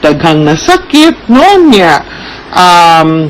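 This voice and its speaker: A man's voice: a little high, sing-song, takes it slowly, a little hiss or hum, poor audio. A man with a Cebuano Filipino accent hosting a radio advice programme, talking conversationally to listeners and often checking in with short tag questions.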